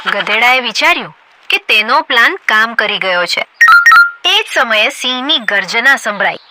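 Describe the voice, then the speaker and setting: A woman narrating a children's cartoon story in a clear storytelling manner. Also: on the high side, animated, normal speed. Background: background music.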